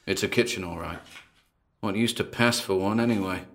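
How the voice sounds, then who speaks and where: A man playing an uneasy paranormal investigator in an audio drama, muttering hesitant observations onto tape as he explores, with a gravelly voice. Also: lowish voice, normal speed, noisy background. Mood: wary, descriptive. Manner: hesitant, muttering observations with sighs and trailing asides. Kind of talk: audio drama found-recording monologue.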